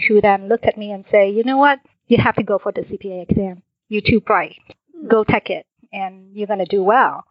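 A woman's voice: mid-range voice, no room sound, dull audio. A woman in her forties from Vietnam, a finance executive, telling her career story as a podcast guest, friendly and laughing now and then. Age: 40s. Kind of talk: interview answers.

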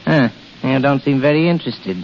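An actor playing a genial, worldly older baron with an urbane, flattering manner in 1930s radio drama style. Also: male voice, mid-range voice, brisk, natural voice. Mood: urbane teasing. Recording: muffled, hissy 1930s radio recording.

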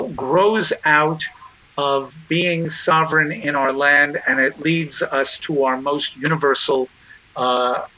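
A man speaking as a guest in an interview, answering in a measured, deliberate way with frequent pauses and restarts. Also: on the high side, bright, forceful tone, rough recording. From Israel. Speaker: guest, nonprofit chairman.

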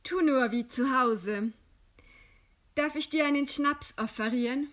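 An actress playing a proud, composed dissident writer in a German radio play, speaking with measured, scornful eloquence. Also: female voice, high voice, fairly bright tone. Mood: calm irony. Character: condemned dissident woman writer, widowed, proud, defiant, composed.

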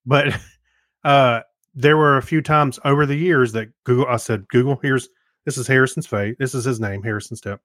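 A man's voice: mid-range voice, steady tone, moderate pace, fairly bright tone, no echo. Casual podcast man, close dry sound. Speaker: podcast co-host. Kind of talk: casual chat, personal anecdotes.

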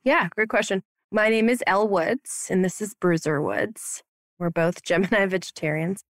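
A woman's voice: medium pitch, normal speed, dry recording, clean studio sound. A woman in her thirties from Romania, a dental hygienist and practice owner, chatting playfully on a podcast with lots of slang and "like". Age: 30s. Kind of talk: casual podcast chat. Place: her office upstairs at her practice.

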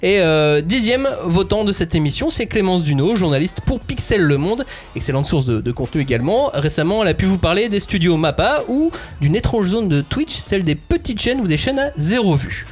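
A young man hosting a panel podcast, lively and jokey with theatrical emphasis. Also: high-pitched, fairly quick, few pauses. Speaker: host.

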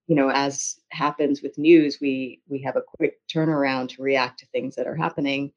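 Woman, American accent, interview guest, conversational storytelling, measured delivery, frequent pauses, dull lo-fi sound. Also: rumbling low, flat delivery. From United States. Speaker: interview guest, journalist and author. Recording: dull, lo-fi audio.

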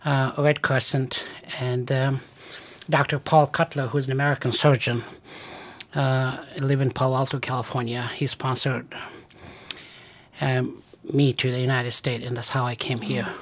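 A man with an Afghan accent answers questions as an interview guest. He talks in a halting, careful way and fills his pauses with uh and um. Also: medium pitch, level tone. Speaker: guest, cancer researcher. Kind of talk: radio interview.

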